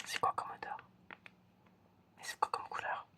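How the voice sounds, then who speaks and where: An ASMR creator whispering a casual, encouraging roleplay test, softly repeating words. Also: moderate pace. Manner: casual, encouraging, informal phrasing. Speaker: ASMR creator playing an examiner. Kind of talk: ASMR roleplay, testing the listener's senses.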